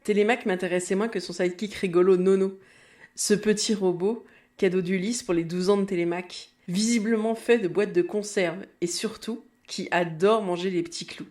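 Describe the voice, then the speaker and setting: A woman's voice: mid-range voice, steady tone, moderate pace, a little mellow. A woman in her thirties with an accent from France, hosting a nostalgic podcast monologue in a wry, confiding, conversational way. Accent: French from France. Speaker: podcast host.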